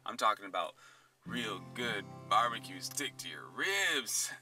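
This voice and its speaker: A man's voice: on the low side, sing-song, measured pace, pushed and bright, soft background music, heavily acted. A man playing an eager, chatty traveling husband in a drama, with a polite and playful manner. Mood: playful, insistent. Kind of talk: acted drama dialogue.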